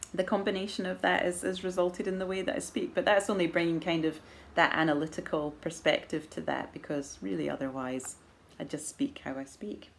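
A Scottish woman with a soft Edinburgh accent talks to camera from her living room, her voice sitting low and even. She answers viewer questions thoughtfully, with gentle laughs and ums. A faint hum of the room sits behind her. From Scotland. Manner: thoughtful, measured, gently laughing, frequent fillers. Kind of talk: casual chat to camera. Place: living room at home, indoors. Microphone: camera in front at arm's length.

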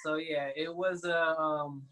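A man's voice: a little high, slow pace. A young man from Haiti who grew up in the United States, casually telling a story on a video call. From born in Haiti, grew up in the United States. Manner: relaxed storytelling with filler "like" and "you know". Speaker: round table guest. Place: indoor living room, sitting beside a woman. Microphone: laptop camera on a video call.

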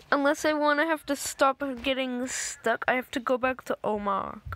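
A young woman casually commenting on a driving game, voice a little raspy from just waking up, rambling in a playful way. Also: sits high, a bit bright. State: slightly raspy, just woken up. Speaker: gameplay video maker. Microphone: phone screen recorder.

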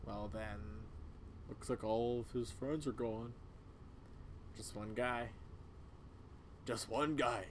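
A man voicing a gentle, earnest big young male bear in a video game, soft-hearted and a little hesitant. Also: mid-range voice, fairly even intonation, slow pace, pauses often, slight background noise, lightly acted. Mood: concerned. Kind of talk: amateur video game voice-over.

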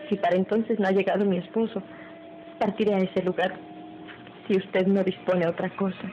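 Una mujer interpreta a una joven esposa humilde, formal y respetuosa, en una radionovela antigua. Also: female voice, mid-range voice, little pitch movement, moderate pace, slight background noise, natural voice. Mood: humble, pleading. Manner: deferential, earnest, formal. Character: humble, submissive young expectant wife, later grieving. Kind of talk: old Spanish-language radio drama acting. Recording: muffled old radio drama recording, music behind.

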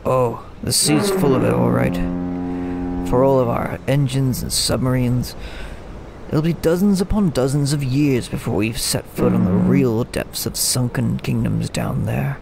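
A man's voice: medium pitch, measured pace, creaky, background noise. A man playing a brooding, poetic old lighthouse keeper in an audio drama, musing like a storyteller. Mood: wistful, awed. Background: music.

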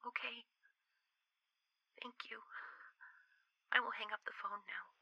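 A woman acts out a gentle, unworldly character in an audio drama. Her voice is breathy and crackly with a very sharp, bright edge, and she picks formal words with nervous, innocent care. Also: fairly high pitch, fairly even intonation, takes it slowly, dry recording, full character voice. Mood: quietly anxious. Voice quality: creaky, breathy. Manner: careful, formal, anxious, innocent. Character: gentle, naive otherworldly woman in hiding. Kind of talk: audio roleplay acting.

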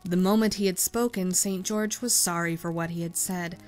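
Woman narrating an audiobook story, lowish voice, faint music behind. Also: steady tone, normal speed, a little mellow.

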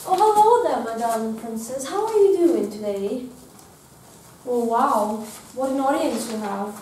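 A woman's voice: on the high side, expressive, a little slow, mellow, a little hiss or hum. A girl playing a fussy, kindly witch. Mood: theatrical. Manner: fussy, theatrical exclamations. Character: kindly theatrical witch counselor. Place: school drama room, indoors. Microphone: far camera. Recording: very echoey room from far off.

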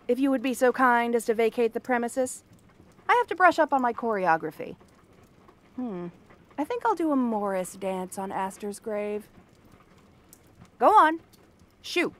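Casting: female actor as cursed princess turned frog girl, cranky and theatrical, sharp-tongued but secretly kind, ASMR fantasy roleplay. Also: a little high. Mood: mocking, dismissive. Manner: haughty, sardonic, theatrical tsundere delivery.

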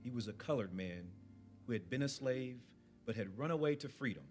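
A man in his thirties reading poetry aloud in a measured, declamatory way at a podium microphone. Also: mid-range voice, animated. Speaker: community reader at a podium. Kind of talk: poetry recitation. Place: indoor event room at a podium. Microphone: podium microphone on a stand. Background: faint background music.

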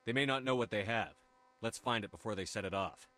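A man playing a steady, commanding human space soldier in a video game, firm and matter-of-fact. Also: fairly low pitch, quick pace. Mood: calm, measured.

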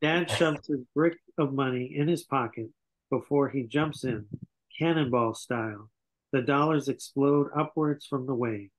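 Older man narrating a script, medium pitch. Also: a little slow, poor audio. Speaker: narrator reading stage directions. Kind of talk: scripted narration at a table read. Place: small home room, indoors, on a video call. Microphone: computer video call.

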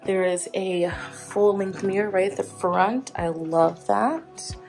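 A woman's voice: mid-range voice, takes it slowly, creaky, darkish tone, soft background music. A young woman in her twenties giving a casual travel vlog tour of a hotel room, filming on a phone held at arm's length. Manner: chatty, listing things offhand. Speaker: travel vlogger.

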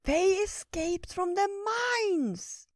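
A woman voicing a small, excitable and devoted talking squirrel in a squeaky, gushing fairytale storybook voice. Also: extremely slow, no echo, clean studio sound, exaggerated voice. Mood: earnest whispering.

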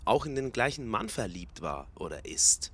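A man's voice: mid-range voice, big pitch swings, moderate pace, a bit bright, husky, heavily acted. A man acting an aunt's hesitant, questioning ex-husband in an amateur radio play. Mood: defensive, hesitant. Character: aunt's remarried ex-husband, taken aback by accusations.

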